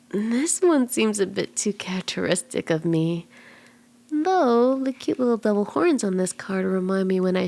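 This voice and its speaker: Kitsune tarot reader acted by a woman: flirty, playful, soft and intimate ASMR delivery with noticeable vocal fry and some whispering. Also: mid-range voice. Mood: sweet, flirty. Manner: teasing, reassuring, conversational. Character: flirty, playful female kitsune fortune teller.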